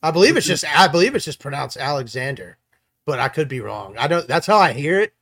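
A man's voice: on the high side, sing-song, fast, fairly bright tone. A man co-hosting a casual sports chat, enthusiastic and hedging as he corrects himself. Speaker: co-host picking fights.

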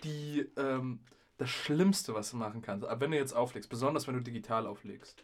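A young man in a casual podcast conversation, with a medium-pitched voice. He talks in loose slang like a DJ swapping stories, and he likes to act out the remarks he quotes. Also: a little fast. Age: young adult. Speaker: podcast host and DJ. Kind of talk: casual chat, animated storytelling.

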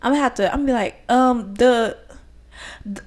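A woman's voice: on the high side, fairly quick, darkish tone. A young woman co-hosting a podcast in casual chat, rambling and self-conscious with a slight lisp, laughing often. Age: young adult. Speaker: podcast co-host.

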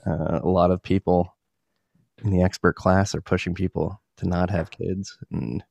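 A man's voice: sits low, little pitch movement, moderate pace, gravelly, a little mellow, no echo. A young man hosting a casual podcast conversation, plain-spoken and a little wry. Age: young adult. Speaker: podcast host.

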